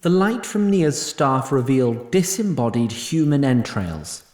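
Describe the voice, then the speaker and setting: Lively wry male narrator, roomy echo. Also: medium pitch, measured pace. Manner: wry, literary. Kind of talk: scripted story narration.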